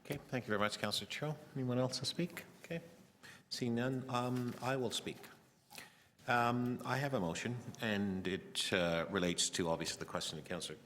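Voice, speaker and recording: A man chairing a city committee meeting, speaking in a brisk, formal, procedural way. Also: mid-range voice, a bit bright, big-room sound, slight background noise. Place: council chamber.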